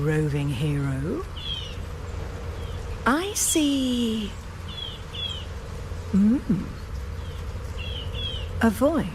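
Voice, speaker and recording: Elderly female oracle: extremely unhurried, lively intonation, serene and cryptic game dialogue, ambient noise. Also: lowish voice, heavily acted. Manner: slow, cryptic, soothing. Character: serene, mystical old grandmother seer, gently guiding. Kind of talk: solemn video game dialogue.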